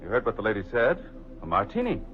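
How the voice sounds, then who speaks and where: An actor playing a suave, flirtatious wealthy shipping millionaire in a vintage radio drama, speaking in a polished, flowery manner. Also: male voice, fairly low pitch, huge pitch swings, fast talker. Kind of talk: 1940s radio acting. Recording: dull old radio recording.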